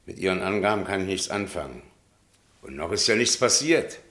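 A deep, bright-edged male voice as a middle-aged police inspector in a radio drama. He is authoritative and pedantic, brushing things off with bureaucratic firmness, and his pitch moves in lively swings. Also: fairly quick. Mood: stern, unhurried. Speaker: actor in a radio play. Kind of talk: German radio play acting.

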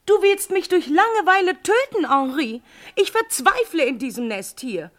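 A poet's bored companion in a radio play. Her voice is high and swoops widely as she complains with theatrical despair. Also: very animated, moderate pace. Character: bored, melodramatic woman, companion of the poet. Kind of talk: German radio drama acting.

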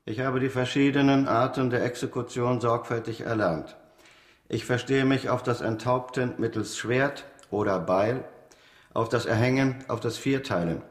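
A man playing a proud, matter-of-fact executioner in a radio play, speaking in a measured, formal way that savours grisly detail. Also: mid-range voice, close to an everyday voice. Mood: smug pride. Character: proud hereditary executioner of old Paris, smugly matter-of-fact. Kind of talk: German radio play acting. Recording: echoey stone-room radio drama sound.

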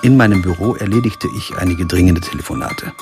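A man's voice: low voice, brisk, music in the background. An actor playing a sober, observant lawyer who narrates his own story in a radio crime drama. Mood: sober, reflective. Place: studio.

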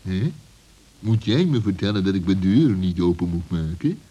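A man voicing a sly, greedy fox in a children's radio play, with a husky, menacing and mocking manner. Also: low voice, animated, moderate pace, dark tone, highs cut off. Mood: suspicious, scoffing. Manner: menacing, mocking, swooping delivery with chuckles. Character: sly, greedy, bullying male fox villain.

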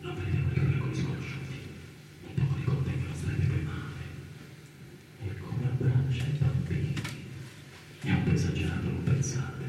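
An actor playing a malicious, envious gossip in a radio drama monologue, whispery, breathy and insinuating. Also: male voice, medium pitch, noisy background. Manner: insinuating, conspiratorial. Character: malicious, envious small-minded gossip muttering about a saintly man. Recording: echoey, distant, roomy sound.

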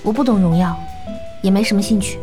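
An actress playing a calm, polite, modest young woman in an audio drama, understated and easygoing. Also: medium pitch, fast, over music, close to an everyday voice. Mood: indifferent. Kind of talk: Chinese audio drama acting.

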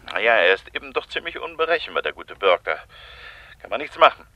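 Wealthy middle-aged gentleman, low voice, animated intonation, urbane, conversational, radio play. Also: fairly quick, dull audio. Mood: casual, resigned. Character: wealthy, worried brother-in-law, a businessman keen to avoid scandal. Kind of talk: 1980s German radio play acting.

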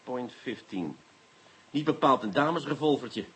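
Radio drama casting: sardonic, coaxing police inspector, mock courtesy, very lively pitch, husky edge. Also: male voice, unhurried, exaggerated voice, rough recording. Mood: pressing, ironic. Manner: sardonic, mock-polite, insinuating. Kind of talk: Dutch radio play acting.

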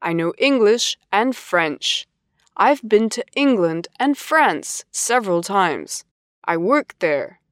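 This is a woman voicing lines for a language course. She speaks at a very slow, deliberate pace and pronounces each word with care. The recording is close and dry. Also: medium pitch, sing-song, no room sound. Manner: slow, deliberate, carefully enunciated. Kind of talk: scripted textbook dialogue reading.

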